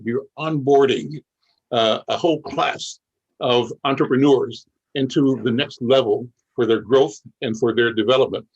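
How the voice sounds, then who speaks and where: An older man hosting an interview, speaking in a measured, reflective way with frequent pauses. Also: medium pitch, a little mellow, lo-fi sound. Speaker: interviewer, show host.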